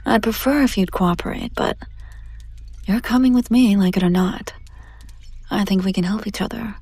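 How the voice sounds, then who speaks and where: A woman playing a seductive, domineering demon in an intimate audio roleplay, teasing and condescending, often slipping into breathy whispers. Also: mid-range voice, moderate pace, darkish tone, no echo, close to an everyday voice. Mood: smug, coaxing. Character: seductive, domineering female demon buyer taunting a captive angel. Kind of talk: intimate scripted audio roleplay addressed to the listener.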